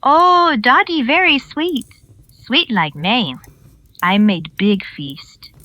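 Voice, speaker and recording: A high-voiced, feisty small Chinese woman. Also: expressive, slow pace, pushed and bright, dry recording, exaggerated voice, rough recording. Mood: teasing, brusque. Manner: scolding, clipped broken English.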